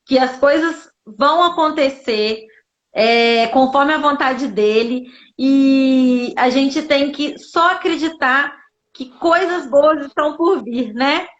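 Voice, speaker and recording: Female chat guest, Minas Gerais Brazilian accent, very high voice, unhurried delivery, casual. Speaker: guest, mother sharing her story. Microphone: live social media video call. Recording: dull lo-fi livestream audio.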